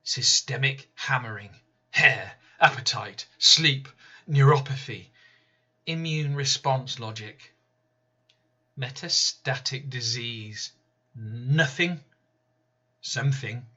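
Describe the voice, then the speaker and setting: Man, spoken-word poetry, slow deliberate delivery, bright forceful tone, dull lo-fi recording. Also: mid-range voice, slightly rough. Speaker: poet reading his own poem.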